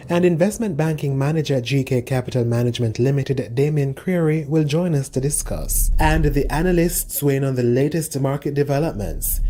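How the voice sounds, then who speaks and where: A man reading scripted business news in a measured, formal way. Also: medium pitch, warm tone. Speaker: news reader.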